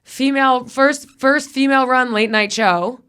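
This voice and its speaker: A woman's voice: high-pitched, normal speed, fairly bright tone, clear, resonant tone. Crude, jokey woman podcast host. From Buffalo, New York. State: voice often worn from vaping. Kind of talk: casual comedic chat. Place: podcast studio.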